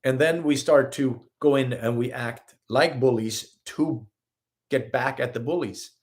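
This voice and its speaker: A man's voice: mid-range voice, unhurried. A man from Sweden with a Swedish accent, giving an earnest live-streamed spiritual talk as a healer and host. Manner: earnest, animated, acts out little scenes in quotes.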